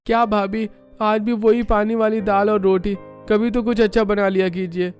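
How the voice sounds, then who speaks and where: A man's voice: high voice, little pitch movement, normal speed, a little mellow, soft background music, lightly acted. A man voicing a poor, hardworking younger brother in a cartoon, a mechanic with a complaining manner.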